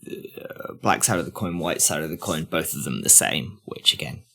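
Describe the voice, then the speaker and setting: A man co-hosting a discussion podcast, arguing emphatically in halting bursts. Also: rumbling low, animated, vocal fry, sharp tone, husky. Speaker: podcast co-host.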